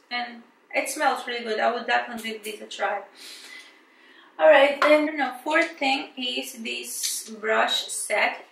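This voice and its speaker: Woman, Brazilian accent, chatty and hesitant, gravelly voice, beauty vlogger. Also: a little high, a bit bright, echoey room, distant mic, poor audio. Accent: Brazilian Portuguese. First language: Portuguese. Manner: chatty, hesitant, many fillers and restarts. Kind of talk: casual product unboxing and review.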